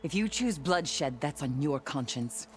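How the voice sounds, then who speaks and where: Proud refugee, formal, solemn, unhurried delivery, game cutscene, faint music. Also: female voice, low voice, animated. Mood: defiant. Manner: formal, measured. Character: solemn, dignified female refugee standing her ground. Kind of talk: video game cutscene acting.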